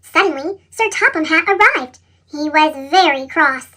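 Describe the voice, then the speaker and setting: A woman's voice: high voice, expressive, measured pace, pushed and bright. A woman reads a children's story expressively, her voice altered with a sped-up chipmunk effect. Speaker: storybook reader.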